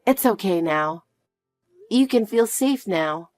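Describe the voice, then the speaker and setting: A woman playing a calm, protective mother who speaks softly and reassuringly to her daughter. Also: mid-range voice, no echo, soft background music. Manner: soft, slow, reassuring. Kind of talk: dramatic dialogue.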